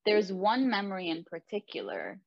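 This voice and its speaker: A woman's voice: mid-range voice, very animated, moderate pace, gravelly, no room sound, highs cut off, soft background music. A young woman from Lebanon telling her own life story, candid and earnest, with frequent "like" and "you know" asides. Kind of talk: personal reflective monologue.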